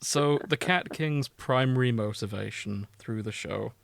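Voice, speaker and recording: A man's voice: medium pitch, measured pace, gravelly, no echo. A man co-hosting a podcast, chatting casually about television in a teasing, sweary way. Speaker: podcast co-host. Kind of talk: casual banter about TV with friends.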